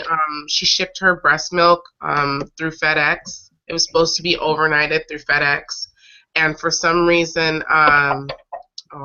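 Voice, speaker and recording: Casting: woman online host, bright but slightly raspy with a cold, halting casual delivery, dull lo-fi call audio. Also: deep voice, a little hiss or hum. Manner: halting, often pausing mid-sentence to regroup. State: getting over a cold. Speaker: host of an online breastfeeding advocacy show. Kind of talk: casual live webcast chat, recounting stories. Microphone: online video call.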